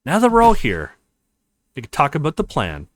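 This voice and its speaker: An earnest young college man leading a scheme, voiced by a male let's player. His visual novel lines are explanatory, with a fairly high pitch that moves around a great deal. The sound is dry. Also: wildly swooping pitch, normal speed, no echo. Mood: earnest, planning.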